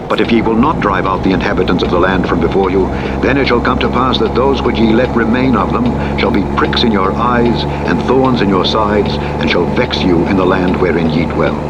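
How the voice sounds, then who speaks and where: A man narrating the Bible in a measured, solemn recitation, reading from a script. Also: lowish voice. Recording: muffled playback under loud vehicle engine noise. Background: loud truck simulator engine drone.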